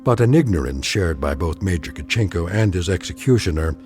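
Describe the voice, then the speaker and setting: Radio drama narrator: man, low mellow voice, portentous and ominous, dramatic swoops. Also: animated, a little fast, soft background music.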